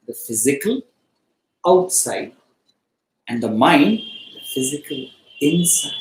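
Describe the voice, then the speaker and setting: Slow, deliberate man teaching in echoey room. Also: a little high, a little mellow. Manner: deliberate, emphatic short phrases with pauses. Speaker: spiritual study teacher. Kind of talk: explanatory teaching of Vedanta philosophy. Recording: echoey, roomy sound.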